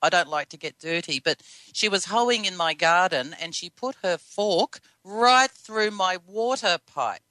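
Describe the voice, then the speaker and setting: A female radio host speaks with an Australian accent in a bright, dry-sounding voice. She is chatty and upbeat, talking directly to listeners and guests. There is a slight scratchiness, as if her voice is starting to go. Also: lowish voice, measured pace, no room sound, low-quality recording. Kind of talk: live radio hosting and interviewing.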